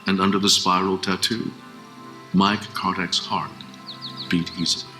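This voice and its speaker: A man narrating an audio drama in a measured, solemn storytelling style. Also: low-pitched, little pitch movement, pushed and bright. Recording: roomy sound with music behind.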